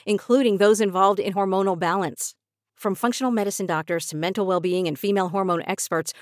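A woman's voice: medium pitch, dry recording, studio quality. A woman doing a scripted advertising voice-over in a polished, persuasive manner. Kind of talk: scripted supplement ad read. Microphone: close dry studio voice-over.

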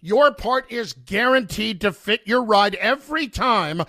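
Bright, high-pitched male ad announcer. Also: animated, unhurried, no echo, clean studio sound. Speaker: commercial announcer. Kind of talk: scripted advertisement read.

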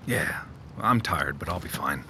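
A man's voice: lively intonation, a little slow. An actor playing a weary, hesitant man with a sleep disorder in a naturalistic audio drama. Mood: tired, low-key. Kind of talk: naturalistic audio drama acting, some inner narration. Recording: rumbling vehicle noise behind the voice.

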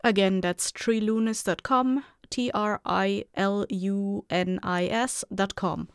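A woman podcast host chats casually with her listeners in a clear, smooth voice of middling pitch. She is informal and playful, recorded close and dry. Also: fairly even intonation, slow pace, no room sound. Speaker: creator and host of audio drama podcast.

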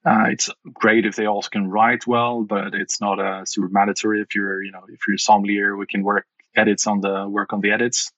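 A middle-aged man from Sweden with a Swedish accent, answering interview questions with many pauses and restarts. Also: lowish voice, little pitch movement, fairly bright tone, dry recording, highs cut off. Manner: hesitant, many fillers and restarts. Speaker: wine guide founder. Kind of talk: podcast interview.

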